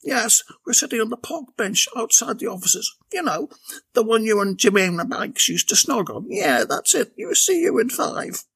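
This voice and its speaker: A wheezing, tipsy woman squeaks along, breathless and whiny, as a man puts her on. The voice sits high and swoops a lot, with a raspy, gravelly edge. It reads as a comic storybook part. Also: very animated, moderate pace, a bit bright, full character voice.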